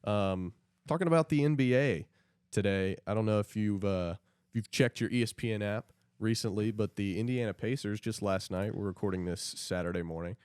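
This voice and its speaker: A man's voice: lowish voice, brisk, darkish tone, clean tone, no echo, studio quality. A man co-hosting a podcast, chatting casually about sports. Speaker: podcast co-host.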